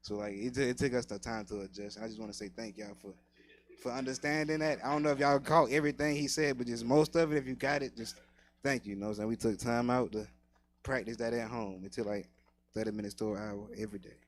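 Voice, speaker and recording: A man's voice: medium pitch, fairly even intonation, fast talker. A man hosting a comedy show on stage, bantering in casual slangy talk.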